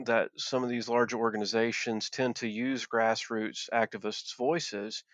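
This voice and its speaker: A middle-aged man from Virginia, answering interview questions in a plainspoken, halting way and often repeating words. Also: mid-range voice, steady tone, fairly bright tone, no echo. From Virginia, USA. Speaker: interview guest.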